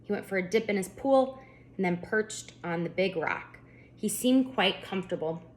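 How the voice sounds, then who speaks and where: A woman author narrating her children's book at storytime, with expressive, deliberate phrasing for young listeners. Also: medium pitch, slight background noise. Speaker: children's author reading aloud.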